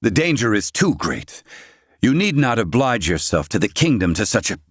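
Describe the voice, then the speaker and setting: Deep, proud older inventor, sternly formal. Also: male voice, big pitch swings, moderate pace. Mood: stern, measured. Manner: formal, archaic, haughty diction. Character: proud, stern older male inventor of flying craft, gruff and curt. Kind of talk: scripted video game voice acting.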